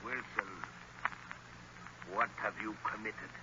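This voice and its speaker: An actor playing a sinister, domineering professor and hypnotist in a theatrical old radio drama style, commanding and menacing. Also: male voice, fairly low pitch, fairly even intonation, slow. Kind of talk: 1940s radio drama acting. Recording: dull, noisy old radio recording.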